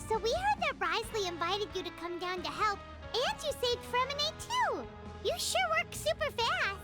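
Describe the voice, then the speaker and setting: A woman voicing a tiny, childlike, excitable fairy companion in a video game, speaking of herself in the third person. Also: very high voice, normal speed. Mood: curious, excited. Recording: game music behind the voice.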